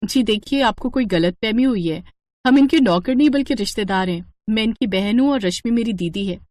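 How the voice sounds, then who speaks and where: A woman's voice: a little high, a little fast, darkish tone, no room sound, close to an everyday voice. A woman voicing a humble, earnest poor sister with a polite, plain manner in a cartoon moral story. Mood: politely firm.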